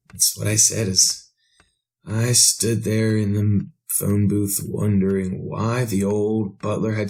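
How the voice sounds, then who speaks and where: Radio horror casting: gloating, half-mad doomed narrator, slow and gravelly, halting and theatrical, repeating himself. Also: male voice, fairly low pitch. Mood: puzzled, brooding. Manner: halting, repetitive, theatrical delivery with self-questioning. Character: half-mad man narrating his doom, gloating and chuckling. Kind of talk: 1930s radio horror drama acting.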